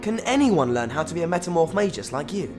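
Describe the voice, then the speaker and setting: An actor playing an earnest, worried teenage schoolboy in a video game, with a British accent. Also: male voice, on the high side, big pitch swings, fast talker, over music. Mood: curious. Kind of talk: video game cutscene acting.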